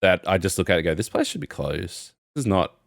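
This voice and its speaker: A man's voice: deep voice, fast, creaky, no echo, clean studio sound. A young man co-hosting a casual podcast, telling rambling stories with lots of laughter. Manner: rambling, animated storyteller, frequent laughs. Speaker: podcast co-host. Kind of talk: casual chat.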